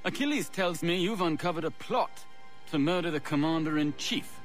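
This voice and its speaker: An actor playing a confident, idealistic young gentleman of colonial times in a video game, amiable and articulate. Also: on the high side, moderate pace, natural voice. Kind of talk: video game cutscene acting. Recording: music behind the voice.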